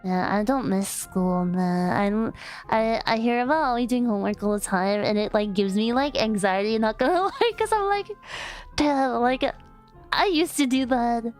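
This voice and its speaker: A woman's voice: fairly high pitch, normal speed. Giggly young woman chatting with her stream. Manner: giggly, rambling, with lots of fillers and restarts; playful and affectionate with chat. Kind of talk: casual livestream chat. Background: faint background music.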